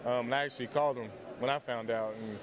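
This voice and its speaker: Quick-talking young man, noisy outdoor interview. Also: mid-range voice, fairly even intonation. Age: young adult. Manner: conversational, many you-knows. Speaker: college football player being interviewed. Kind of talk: casual sports interview. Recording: outdoor interview with crowd noise.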